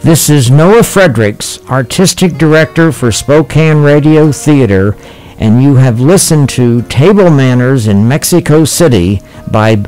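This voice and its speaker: A man's voice: mid-range voice, darkish tone, rough recording. Male radio theatre announcer over faint music. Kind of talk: scripted announcement of credits.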